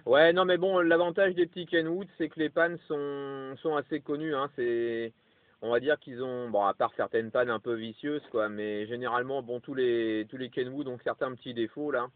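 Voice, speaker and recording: A man's voice: mid-range voice, steady tone, moderate pace, no echo. Man chatting over tinny two-way radio. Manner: rambling, tacks small tags onto phrases. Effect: CB radio transmission. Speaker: radio amateur operator. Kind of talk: casual two-way radio chat.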